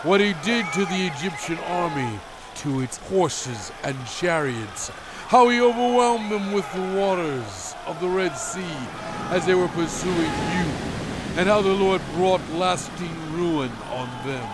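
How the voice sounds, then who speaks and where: Solemn bible prophet, really slow oratorical delivery, commanding and exhorting, some background noise behind him. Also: male voice, sits high, animated, a crowd in the background. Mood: solemn, reverent. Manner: solemn, measured, emphatic, exhorting. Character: solemn commanding male biblical prophet addressing his people. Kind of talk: dramatized scripture reading, oratorical.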